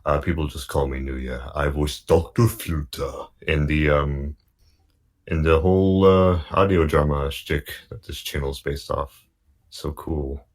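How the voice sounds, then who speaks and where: Very deep-voiced deadpan man. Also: unhurried. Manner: deadpan, joking reluctance. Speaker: voice actor and reactor. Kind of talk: casual group banter.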